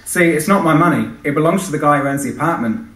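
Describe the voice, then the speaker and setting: A man's voice: a little high, fast, a bit bright, voice pushed up, close to an everyday voice. A man voicing a floundering, defensive young-ish advertising man in an Australian accent, hesitant in his replies. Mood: flustered. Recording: echoey hall, distant microphone.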